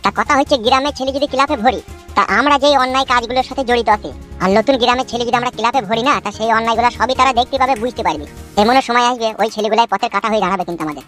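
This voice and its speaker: A woman's voice: on the high side, rapid-fire, fairly bright tone, soft background music, lightly acted. A woman voicing a boastful, scheming male village gang boss, bossy and menacing, in a comedy cartoon. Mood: scheming, self-assured.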